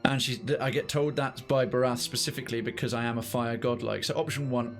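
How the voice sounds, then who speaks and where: A man's voice: medium pitch, fairly even intonation, quick pace. A male streamer with a mid-range voice gives casual, rambling game commentary. He is wry and chats to viewers over faint music. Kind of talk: casual let's play commentary, chatting to viewers.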